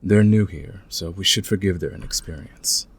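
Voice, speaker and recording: A man playing a calm, friendly veteran thief in an ASMR audio roleplay, speaking in a patient, soothing, conversational way. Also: low-pitched, moderate pace, husky. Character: calm, friendly veteran male thief smoothing over team tensions.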